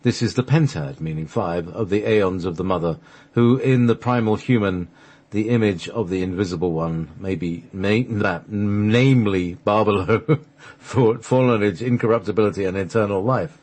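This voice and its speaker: A man narrating a sacred text for a radio play, halting at times as he reads from the script. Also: fairly low pitch, darkish tone. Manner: halting, stumbles over the script and restarts.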